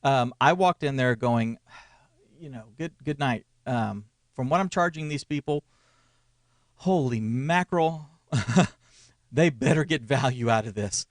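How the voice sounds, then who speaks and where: A man's voice: mid-range voice, expressive, slow, no echo, studio quality. A man in his forties co-hosting a casual ministry chat, rambling and restarting his sentences with small chuckles. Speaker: co-host, church media consultant. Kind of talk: casual conversational podcast.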